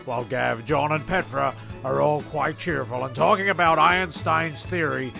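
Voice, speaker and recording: A man's voice: fairly high pitch, normal speed, vocal fry, over music. A man playing the wry, slightly exasperated narrator of a castaway comedy radio play, stumbling now and then.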